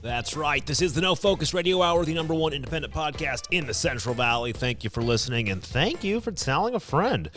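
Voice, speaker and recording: An American man hosting a comedic podcast in a casual, joking and theatrically expressive way. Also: a little high, brisk, hardly any pauses, soft background music. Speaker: podcast host.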